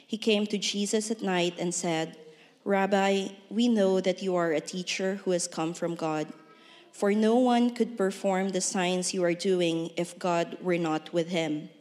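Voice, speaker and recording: Lady reading the bible into a handheld mic, careful and steady, big church echo. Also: lowish voice, a little slow. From Philippines. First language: Hiligaynon. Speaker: scripture reader. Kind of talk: reading scripture aloud in church. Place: church hall. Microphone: handheld microphone. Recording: long church reverb.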